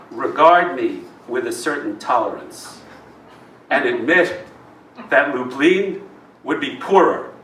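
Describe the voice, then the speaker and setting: A roomy, slightly noisy live recording, picked up from a distance. A man reads poetry with dramatic, deliberate phrasing. His voice is bright and forceful, moving slowly through wide swings of pitch. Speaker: poet reading own work. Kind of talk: expressive live poetry reading. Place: live reading in a roomy indoor venue.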